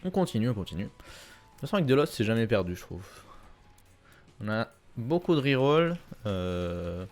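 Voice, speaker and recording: Gamer guy, lowish voice, easygoing play-along commentary at his desk mic. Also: moderate pace. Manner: relaxed, rambling, many fillers and repeats. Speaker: gaming YouTuber. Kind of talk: casual gameplay commentary. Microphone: desk mic at a gaming setup.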